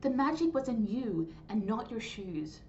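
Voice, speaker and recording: A woman voicing a kind, wise grandmother in a children's storybook, gentle, patient and encouraging. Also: a little high, big pitch swings, a little slow. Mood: proud, gentle. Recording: dull lo-fi sound, faint noise.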